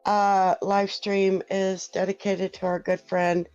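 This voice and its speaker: Slow-talking woman podcast host. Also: mid-range voice, level tone, darkish tone. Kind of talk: casual podcast chat. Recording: dull lo-fi sound with faint music.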